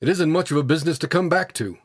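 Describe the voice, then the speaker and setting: A man voicing a weary gentleman tramp in a story, bitter and resigned, speaking in an educated, understated way. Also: fairly high pitch, fast talker, breathy edge, dry recording, studio quality, voice pushed up. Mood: grim, bitter.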